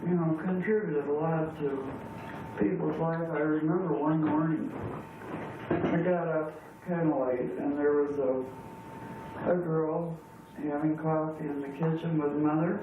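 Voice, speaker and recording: A man's voice: on the high side, steady tone, a little slow. A man of seventy-six telling family memories casually, in a rambling, hesitant way. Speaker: family member recalling memories. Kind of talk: casual oral history storytelling. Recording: tinny, echoey, distant, noisy home recording.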